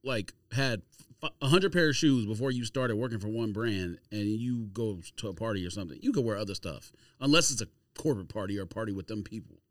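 A man's voice: on the low side, expressive, fast, dry recording, studio quality. A man chatting casually on a podcast as host, blunt, teasing and slangy.

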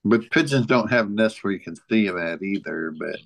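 A man co-hosting a casual podcast, reading out trivia in a halting, joking way. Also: lowish voice, expressive, creaky, dry recording. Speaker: podcast co-host.

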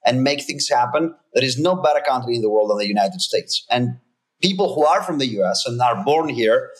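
A man's voice: medium pitch, lively intonation, a little fast. A man in his thirties, originally from Greece, a company founder talking earnestly and emphatically as a guest, often restarting his sentences. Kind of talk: casual interview talk.

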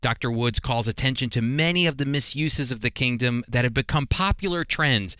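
A man's voice: mid-range voice, moderate pace, bright, forceful tone, smooth, no echo. A man hosting a religious radio program, teaching the Bible in a conversational, earnest, explanatory way with emphasis.

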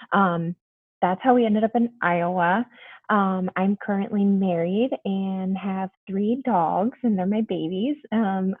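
A woman's voice: medium pitch, no room sound. Young woman from Mexico, bubbly guest, tinny phone-like sound, dry. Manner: bubbly, chatty, frequent ums and laughs. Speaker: guest, police officer. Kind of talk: casual interview chat.

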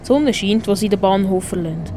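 A female narrator of a children's radio play, speaking at a medium pitch with a Swiss German accent. She reads scripted storytelling over faint background noise. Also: brisk.

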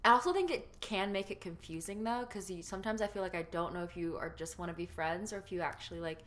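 A young woman hosting a podcast, chatting casually with a friend, full of likes and ums and quick laughs. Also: lowish voice, a bit bright. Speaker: podcast host. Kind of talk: casual chat.

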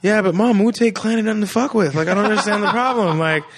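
A man in his thirties, a musician guest on a podcast, telling stories in a casual, animated way and acting out the voices of people he quotes. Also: sits high, quick pace, slight background noise. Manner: animated storyteller who acts out quoted voices. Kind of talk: casual chat.

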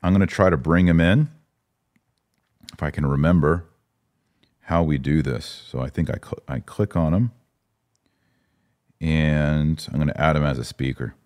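Male podcast host, low voice, dry close sound, conversational live commentary. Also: unhurried, long pauses, darkish tone, no echo. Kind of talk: live commentary, casual talk with listeners.

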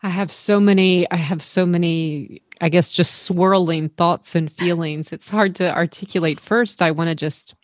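A woman hosting a podcast, asking thoughtful, measured questions and pausing to reflect. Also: lowish voice, fairly even intonation, no echo. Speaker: podcast host. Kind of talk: interview questions.

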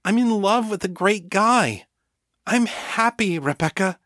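A proud, headstrong woman in an audiobook story, put on by a man. She is blunt and defensive, hitting her words emphatically with big pitch swings, recorded close and dry. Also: lively intonation, unhurried, fairly bright tone, no echo. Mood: firm, proud.

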